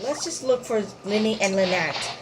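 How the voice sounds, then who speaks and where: A woman's voice: medium pitch, lively intonation, normal speed, heavy background noise. A woman giving casual gameplay commentary, rambling and hesitant, often trailing off. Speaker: gaming video creator. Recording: voice over loud game music.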